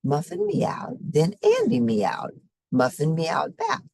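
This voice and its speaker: A woman reading a children's story expressively, with simple, deliberate phrasing for young listeners. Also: sits low, wildly swooping pitch, darkish tone, slightly rough, no room sound, clean studio sound. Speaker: storybook reader.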